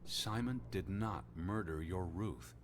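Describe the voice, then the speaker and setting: An actor playing a reserved, anxious American naturalist in a video game drama, speaking in a formal, hesitant manner. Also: male voice, low-pitched, slow, slight background noise. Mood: somber, pondering. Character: reserved, anxious American male naturalist searching for his sister.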